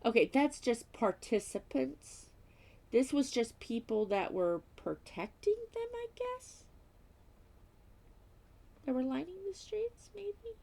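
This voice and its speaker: A woman vlogging at home while diamond painting, reading aloud and chatting in a self-deprecating way, with a slightly stuffy nose and frequent soft whispers. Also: sits high, very animated, slow, vocal fry, pauses often. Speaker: crafting vlogger.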